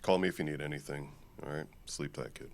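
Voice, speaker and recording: Weary protective male friend, fiction podcast. Deep fry-laden voice, subdued, few words, naturalistic. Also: steady tone, fast talker, a little mellow. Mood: tired, withdrawn. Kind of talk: naturalistic audio drama acting.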